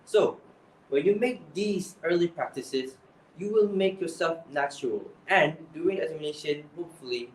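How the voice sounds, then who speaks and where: A man's voice: on the high side, normal speed, a little hiss or hum. A young man with a Malaysian accent giving exam tips, earnest and often restarting his phrases. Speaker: English speaking coach. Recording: echoey room, voice from far off.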